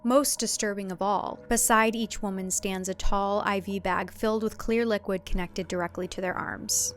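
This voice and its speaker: A woman's voice: medium pitch, fairly even intonation, normal speed, faint music behind. A woman reading scripted thriller fiction as a narrator, in a dramatic storytelling style.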